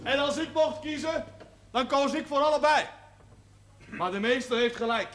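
Combative working guy, really high voice, needling, period tv drama. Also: moderate pace, fairly bright tone. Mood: sardonic. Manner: blunt, needling. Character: blunt, combative socialist-minded working man, scornful of bosses. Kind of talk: television period drama acting.

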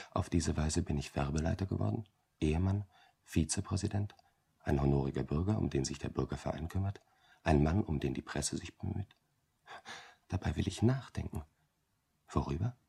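Gravelly, deep-voiced brooding businessman. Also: normal speed, pauses often, warm tone, heavily acted. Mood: musing, weary. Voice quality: gravelly, husky. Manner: self-questioning, rambling monologue. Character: harried male businessman, brooding, longing for time to think. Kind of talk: German radio drama acting.

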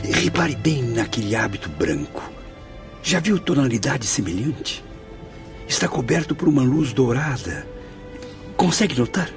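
A man's voice: medium pitch, sing-song, a little slow, vocal fry, pauses often, soft background music. A man playing a curious, observant spirit newcomer in a radio drama, inquisitive. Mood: intrigued. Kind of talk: Brazilian radio soap acting.

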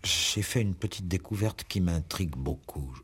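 An actor playing a troubled investigating judge in a 1960s French radio play, musing and questioning hesitantly. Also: male voice, low voice, lively intonation, normal speed, fairly bright tone, slightly rough, heavily acted. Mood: baffled. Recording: old radio drama recording.

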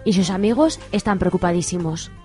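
A woman's voice: fairly low pitch, fairly quick, dark tone, faint music behind. Woman narrating a radio drama.

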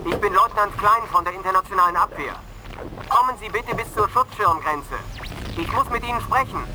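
Actor as high-pitched captain hailing by radio. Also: male voice, natural voice. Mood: tense, pleading. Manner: formal, deliberate calling out. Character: tense male captain hailing over radio. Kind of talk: 1980s German science-fiction radio play acting. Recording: clear background noise.

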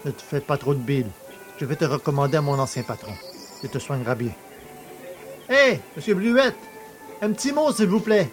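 An actor playing a cheeky, boastful working-class poacher in an audio drama, talking in a familiar, colloquial way. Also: male voice, fairly high pitch, lively intonation, normal speed, pauses often, faint music behind, lightly acted. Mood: jovial, familiar.

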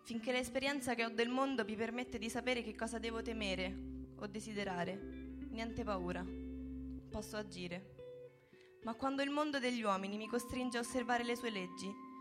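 A woman with a somewhat high voice recites a literary monologue in deliberate, rhythmic phrases. It is a dramatic radio reading, with plucked guitar music clearly audible behind her.

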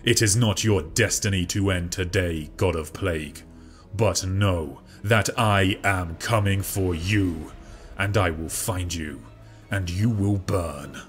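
A narrator puts on a commanding demigod warrior-king, stern and solemn. The voice sits deep and moves at an unhurried, weighty pace, each line booming with authority. Soft music plays faintly behind. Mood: booming threat. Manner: grave, slow, booming delivery. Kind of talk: audiobook character voice.

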